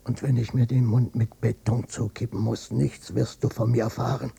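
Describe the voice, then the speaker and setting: A man's voice: medium pitch, level tone, normal speed, creaky, warm tone, husky, heavily acted. A male actor playing a bitter, stubborn old father, a former policeman, brooding and obstinate, in a German radio drama. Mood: defiant. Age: older. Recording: noisy cassette copy of radio play.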